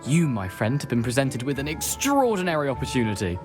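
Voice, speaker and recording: A man's voice: medium pitch, very animated, a little fast, music in the background, natural voice. A man acting an eager, mischievous teenage wizard in a video game, with a British accent and chummy, persuasive manner.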